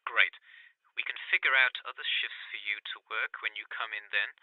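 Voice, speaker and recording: A man's voice: on the low side, moderate pace, no echo. A man playing a friendly, courteous resort hiring manager in a scripted job interview, heard through a telephone filter. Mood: courteous, businesslike. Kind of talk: scripted phone interview for a listening test. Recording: muffled, band-limited telephone line.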